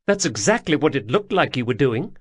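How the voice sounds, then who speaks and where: A man voicing a bold, quick-witted young woman explorer, pitched well up, speaking earnestly. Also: very animated, breathy edge. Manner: raised pitch, earnest, trying to sound haughty.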